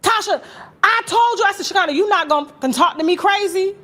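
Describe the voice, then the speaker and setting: An American woman telling gossip in a casual, animated, story-like way, brash and sassy, swearing freely and acting out conversations. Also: very high voice, fast talker. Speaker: gossip vlogger and host.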